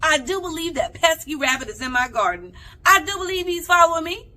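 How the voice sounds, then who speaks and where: Woman doing a bossy, bright-voiced little girl. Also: extremely high pitch, animated, a little fast, pitched above the performer's own voice, exaggerated voice. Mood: suspicious. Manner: emphatic, scolding. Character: bossy, suspicious little farm girl guarding her peas.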